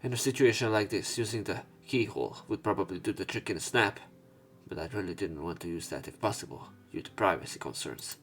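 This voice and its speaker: Lowish-voiced man reading a game aloud. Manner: halting, corrects himself while reading. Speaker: let's play narrator. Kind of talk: reading visual novel narration and dialogue aloud, with asides. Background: game music.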